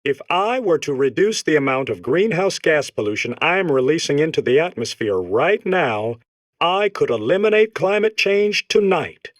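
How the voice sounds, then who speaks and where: A man's voice: a little high, big pitch swings, normal speed, no room sound. A man voicing a smug, villainous robot in a comedy game, delivering bombastic mock public-service announcements. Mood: smug, proud. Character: smug villainous robot core proudly causing climate change. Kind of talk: comic game character monologue.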